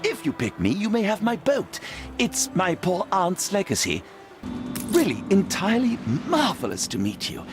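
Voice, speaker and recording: A man's voice: high-pitched, wildly swooping pitch, moderate pace, over music. A man playing a meek, earnest, eager-to-please printer in a video game, hesitant and apologetic in his speech. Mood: eager, flustered. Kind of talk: video game character dialogue.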